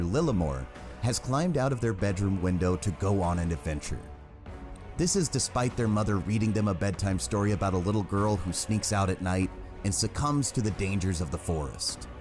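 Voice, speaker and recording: A man with a low voice delivers a scripted game review. His delivery is measured and articulate with a wry edge, and soft music plays beneath his narration.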